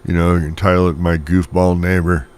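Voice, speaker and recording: A man's voice: low-pitched, level tone, brisk, a little mellow, clean tone. A man chatting and telling stories casually and wryly over CB radio. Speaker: CB radio operator. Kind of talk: casual chat, storytelling. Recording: CB radio audio with background sound.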